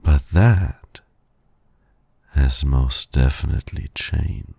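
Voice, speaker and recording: A man playing a suave, flirtatious villain who teases and courts his nemesis in an intimate ASMR roleplay, with a husky, gravelly, breathy voice. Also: extremely low pitch, no room sound, heavily acted. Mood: smug, flirtatious. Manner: slow, breathy, suave teasing with soft chuckles.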